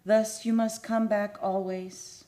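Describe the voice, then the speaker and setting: A woman's voice: medium pitch, little pitch movement, slow, warm tone, close to an everyday voice. A woman playing a tender, lovelorn ghost in a radio play, speaking in solemn, archaic, incantatory phrases. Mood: solemn longing. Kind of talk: old-time radio play acting. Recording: music under the voice.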